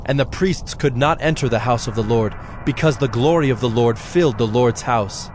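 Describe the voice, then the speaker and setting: A man reading scripted Bible narration in a measured, solemn, clearly articulated way. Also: medium pitch, a little hiss or hum. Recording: background music under the voice.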